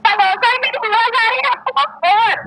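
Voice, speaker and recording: A woman's voice: extremely high pitch, steady tone, moderate pace, very noisy. A woman giving short, deferential radio check replies over a walkie-talkie. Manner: deferential, calls the listener sir. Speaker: test assistant replying over walkie-talkie.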